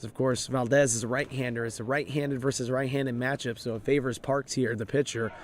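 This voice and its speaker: A man calling a college baseball game play by play, his voice raspy and hoarse from overuse, steady and informative, and lifting with the action on the field. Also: mid-range voice, a little fast. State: hoarse, voice drained. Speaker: play-by-play announcer. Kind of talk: live baseball play-by-play commentary.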